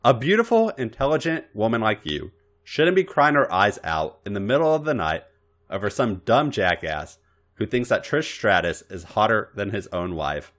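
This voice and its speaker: A man's voice: lowish voice, big pitch swings, moderate pace, fairly bright tone. A man acting out an intense, wildly swinging male lover. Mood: indignant, protective. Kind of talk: dramatic fan fiction reading.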